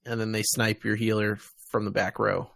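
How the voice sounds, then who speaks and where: A man's voice: fairly low pitch, little pitch movement, moderate pace, creaky, a bit bright, dry recording, clean studio sound. An American man hosting a podcast, chatting casually about games and media. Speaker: podcast host.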